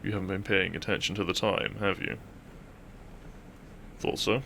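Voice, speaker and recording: Casting: male actor as melancholy young best friend, gravelly, wry and halting, intimate roleplay audio with noticeable background ambience. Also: low voice, steady tone, a bit bright, a little hiss or hum. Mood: shy, teasing. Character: depressed young man, dry-witted, awkward, confessing to best friend.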